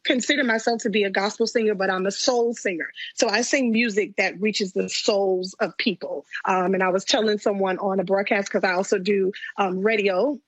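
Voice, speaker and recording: A woman in her late thirties, a gospel singer telling stories as an interview guest, animated and laughing often. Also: medium pitch, moderate pace, few pauses, dry recording, low-quality recording. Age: 30s. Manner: animated storytelling, frequent laughter.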